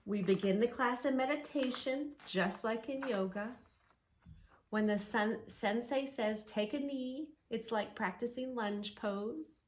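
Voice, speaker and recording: A woman's voice: on the high side, unhurried, slight background noise, pitched above the performer's own voice, close to an everyday voice. A woman voicing a kind, reassuring young boy, an older brother in a children's storybook, speaking in an earnest, encouraging way.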